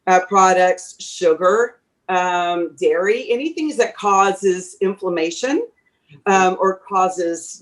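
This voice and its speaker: A woman voice teacher being interviewed, talking chattily and advising from experience, with lots of fillers and restarts. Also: fairly low pitch. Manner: speaks from a teacher's experience, giving advice. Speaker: voice teacher, guest. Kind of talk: conversational interview answers.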